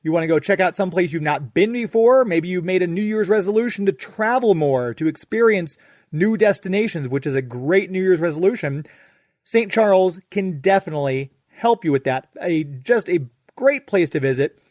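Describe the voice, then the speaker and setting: An American man hosts a radio show in a chatty, informal style. His voice sits a little high, and the sound is dry and close, with no room to it. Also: expressive, moderate pace, darkish tone, dry recording. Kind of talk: conversational ad read and interview.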